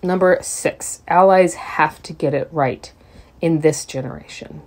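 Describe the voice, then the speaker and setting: A woman giving a scripted sermon reading, measured and deliberate, with emphatic pauses. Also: low voice, darkish tone. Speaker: service speaker.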